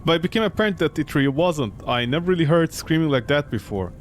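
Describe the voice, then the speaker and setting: A man voicing a calm, long-winded old immigrant woman, a former policewoman, in a game. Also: a little high, fast talker, a little hiss or hum, close to an everyday voice. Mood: calm, wistful. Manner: recounting her life at length.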